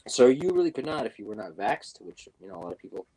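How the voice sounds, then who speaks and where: Young male guest, low husky voice, rambling casual talker. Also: big pitch swings, fast talker, warm tone, low-quality recording. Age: young adult. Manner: rambling, lots of fillers and restarts. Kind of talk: casual chat. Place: New York.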